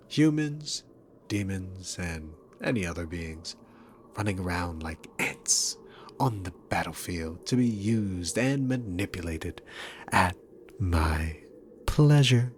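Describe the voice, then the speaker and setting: A low-pitched demon king played by a man speaks in a slow, theatrical drawl. He is a dominant villain in an ASMR roleplay, mocking and condescending as he toys with his prey. Faint noise sits behind the voice. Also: lively intonation. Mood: haughty, playful. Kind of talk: ASMR roleplay acting, addressed to the listener.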